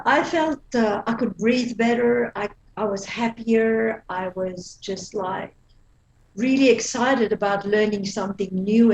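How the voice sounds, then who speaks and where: A woman in her fifties from Belgium with a Belgian accent, a guest in a casual interview who talks in a lively way, laughs often and restarts her sentences. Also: mid-range voice, low-quality recording.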